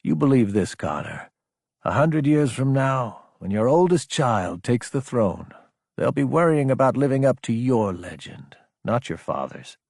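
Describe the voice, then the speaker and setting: A man voicing a female military superior in an audiobook, gentle, understanding and firmly encouraging. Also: mid-range voice, sing-song, measured pace, vocal fry, no echo, studio quality, pitched above the performer's own voice, full character voice. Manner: gentle, reassuring. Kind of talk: audiobook character acting.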